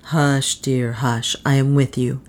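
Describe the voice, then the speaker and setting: A proud, protective adventurer in an audiobook tale, voiced by a female narrator. He talks in a low voice, grave and formal, with stern firmness. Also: little pitch movement, takes it slowly, darkish tone. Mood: soothing. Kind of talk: dramatised audiobook dialogue.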